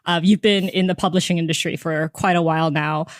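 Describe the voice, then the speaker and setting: A woman with a somewhat low voice hosts a casual interview podcast. She talks conversationally, and the sound is dry with very little room around it. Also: fairly even intonation, brisk, dry recording. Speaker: podcast host.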